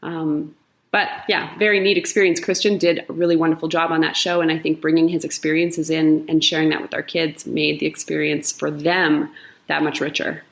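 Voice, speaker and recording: Low-voiced drama teacher, enthusiastic. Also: female voice, little pitch movement, moderate pace, vocal fry. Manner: enthusiastic, fluent storytelling. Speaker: high-school drama teacher. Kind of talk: casual interview talk.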